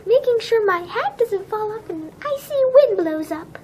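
A woman's voice: extremely high pitch, lively intonation, moderate pace, a little mellow, pitched above the performer's own voice. A woman voicing a small, proud, stubborn male hedgehog in a storybook, in an emphatic childlike manner. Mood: proudly insistent. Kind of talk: children's storybook read-aloud.

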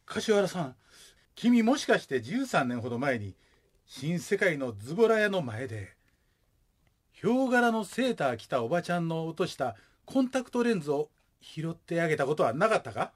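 A man playing a stubborn, soft-hearted middle-aged father with a Kansai accent in a radio drama. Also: on the high side, big pitch swings, normal speed, husky. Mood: moved, earnest. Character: stubborn, blustery, soft-hearted widowed father of a young woman.

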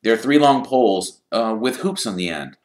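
A man doing a weary, flat-voiced teenage boy. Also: lowish voice, moderate pace, pitched above the performer's own voice, natural voice. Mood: resigned. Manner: flat, resigned, patient explaining. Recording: roomy echo.

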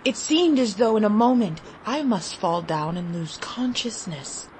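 A woman narrating a literary short story in a deliberate, expressive, dramatic way with long pauses. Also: medium pitch, a little hiss or hum, poor audio. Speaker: audiobook story reader.

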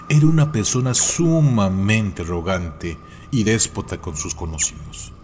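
Guy with a low voice telling old horror legends, solemn and dramatic, noisy ambience behind him. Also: a little mellow. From Mexico. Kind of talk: scripted storytelling narration.

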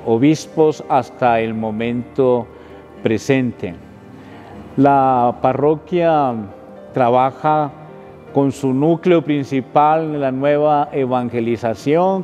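An older man with a Colombian Spanish accent, a parish priest and friar, speaking in a measured, solemn way inside a church. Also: medium pitch, mellow, dull audio. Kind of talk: welcoming address to camera. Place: inside a church, before the altar. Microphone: close camera interview framing.

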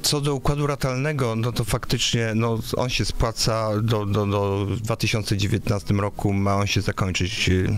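A man's voice: fairly low pitch, flat delivery, moderate pace, few pauses. A man in his thirties, a local election candidate, answering interview questions in a radio studio with many hesitations and filler sounds. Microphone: studio microphone on a boom arm.

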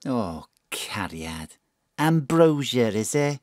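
A doting middle-aged Welsh mother in an audio drama. Her low voice swings up and down at an unhurried pace as she fusses and chats with daffy affection. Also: sing-song, darkish tone, no echo, studio quality, exaggerated voice. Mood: concerned, puzzled. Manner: fussing endearments and tag questions.

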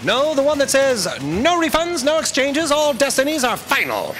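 An actor playing an intrepid, courteous space detective, explaining things calmly in a staged audio drama. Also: male voice, very high voice, normal speed, exaggerated voice, rough recording. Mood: calm, deductive. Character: intrepid male space detective, calm and polite. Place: theatre stage, indoors. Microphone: stand microphone. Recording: live stage recording with hiss.

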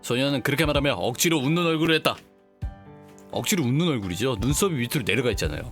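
A man's voice: medium pitch, expressive, very fast, fairly bright tone. A man hosting a live game stream, playfully mixing casual chat with reading the story aloud. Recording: music playing behind the voice.

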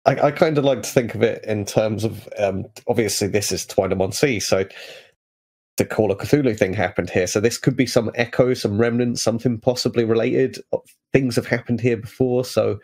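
Male podcast panellist, fairly low gravelly voice, casual chat. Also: moderate pace, a little mellow.

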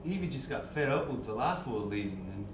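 A man's voice: medium pitch, sing-song, fast, close to an everyday voice. A man playing an older, friendly but nosy traveler who talks in a chatty, fatherly way and hesitates. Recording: distant, echoey, noisy.

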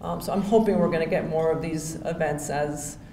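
A woman's voice: low voice, a little fast, warm tone. A woman in her forties from Miami giving a hall of fame acceptance speech at a podium microphone, chatty and full of thank-yous and restarts. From Miami, Florida. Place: hotel ballroom, on stage at a podium. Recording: echoey ballroom with faint hum.

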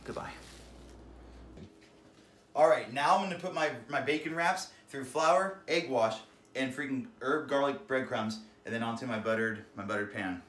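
A man's voice: medium pitch, normal speed, fairly bright tone. A man in his thirties cooks in a small home kitchen and narrates each step, cracking jokes and swearing. His voice sits in a middle range, picked up by a camera mic a few feet off, and it bounces around the room with a faint hum of ambience behind it. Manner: jokey, swears, keeps saying "freaking". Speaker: home cooking show host. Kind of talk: goofy cooking demonstration. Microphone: camera mic from a few feet away. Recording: roomy kitchen echo.

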